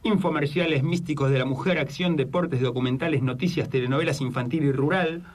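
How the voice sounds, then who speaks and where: An Argentine man presents an improvised radio comedy sketch. His voice is high and mock-instructional, picked up a little way from the microphone. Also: a little fast, far from the mic.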